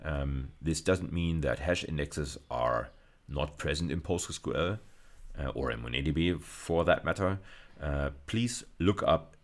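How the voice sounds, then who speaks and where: Very deep-voiced male lecturer. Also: expressive, slow pace. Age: middle-aged. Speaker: university lecturer. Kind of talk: slide-based technical video lecture.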